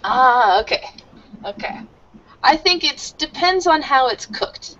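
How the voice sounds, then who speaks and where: A woman teaching an online English class, explaining slowly and warmly with many fillers. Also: high voice, animated, muffled recording.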